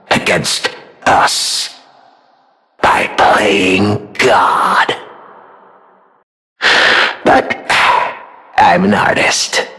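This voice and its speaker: Gravelly, gloating killer puppet. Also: male voice, deep voice, bright, forceful tone, full character voice. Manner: drawn-out, gloating, theatrical. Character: boastful, sadistic male killer puppet, self-styled blood artist. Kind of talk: theatrical video game villain monologue.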